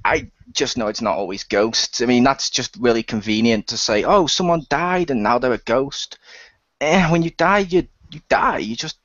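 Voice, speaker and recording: A man's voice: medium pitch, normal speed, a bit bright, no room sound, lo-fi sound, close to an everyday voice. A man playing a skeptical, wisecracking ghost hunter recruit in an improvised role-play radio play, chatty and sarcastic.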